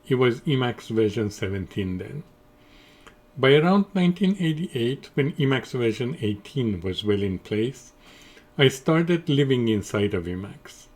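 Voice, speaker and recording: A middle-aged man, a Farsi speaker, giving a formal, measured scripted technical conference presentation. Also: mid-range voice. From Iran. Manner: measured, deliberate, formal delivery.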